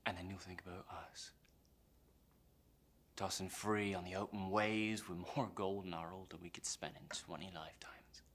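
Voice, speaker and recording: A man playing an embittered, defiant pirate mutineer in a theatrical monologue, brooding and swinging between hushed menace and impassioned outbursts. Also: fairly low pitch, moderate pace. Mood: taunting, fervent. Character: embittered, defiant English pirate mutineer, a former pressed sailor.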